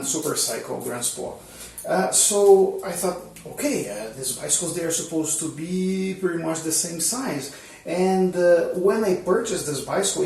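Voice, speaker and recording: Man, native Portuguese speaker, gravelly voice, slightly high, echoey room, mic set back. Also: darkish tone. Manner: hesitant, many fillers and restarts. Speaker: channel host. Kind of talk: casual instructional talk. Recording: roomy echo, mic at a distance.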